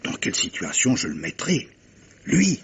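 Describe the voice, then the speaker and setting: An actor playing a grave gentleman captain in a radio mystery, speaking in a measured, insistent, formal way, in a 1950s French style. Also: on the low side, wildly swooping pitch, slightly rough, highs cut off, full character voice. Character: grave gentleman captain pressing a guilty woman with hypotheticals. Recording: old radio drama recording.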